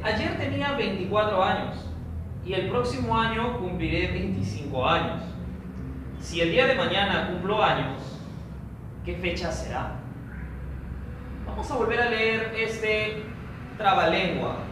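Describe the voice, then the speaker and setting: A man teaching a recorded online training lesson for teachers, explaining a logic problem slowly and step by step. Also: high voice. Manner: slow, methodical, explains step by step. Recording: echoey room, distant mic, noisy.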